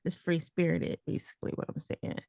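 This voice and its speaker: A woman's voice: fairly quick, darkish tone, no room sound, clean studio sound. A woman hosting a casual interview, chatting in an informal, slangy way with a playful, teasing streak. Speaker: host and interviewer.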